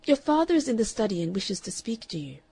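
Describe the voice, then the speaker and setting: A woman's voice: mid-range voice, sing-song, fast talker, dark tone, breathy edge, full character voice. A woman voicing an anxious, fretful middle-aged aunt who pleads and warns.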